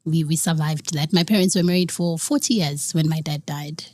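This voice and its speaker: A woman's voice: low voice, normal speed, darkish tone, no room sound. Earnest South African woman telling her story. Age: young adult. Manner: earnest, mixes Zulu phrases into English. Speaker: guest. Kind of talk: personal story in an interview.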